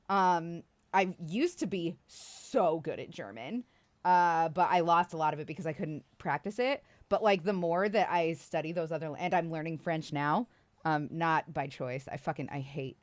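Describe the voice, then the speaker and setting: Woman, 30s, slightly low voice, casual stream chat, rambling, cusses, laughs a lot. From Iowa, United States. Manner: rambling, swearing, self-deprecating, laughs often. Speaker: livestreamer. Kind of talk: casual livestream chat answering viewers.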